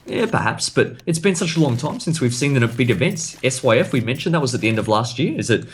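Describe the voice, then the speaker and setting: A man casting an esports match live, his voice croaky, calling the action and breaking it down in detail. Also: mid-range voice, expressive, a little hiss or hum. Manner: rapid, analytical play-by-play. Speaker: esports caster.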